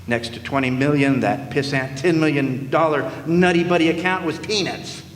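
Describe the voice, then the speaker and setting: A man's voice: fairly high pitch, moderate pace, lightly acted. An actor in a live radio play playing a wisecracking hardboiled male private eye who narrates in a dry, sardonic way. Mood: wry. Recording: echoey hall with faint noise.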